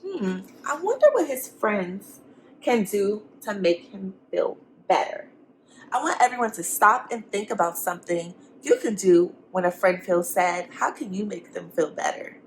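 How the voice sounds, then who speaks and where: A woman's voice: medium pitch, animated, measured pace, a little hiss or hum, rough recording. A woman teacher reading a storybook aloud to young students, expressively, with simple encouraging questions and asides for the children.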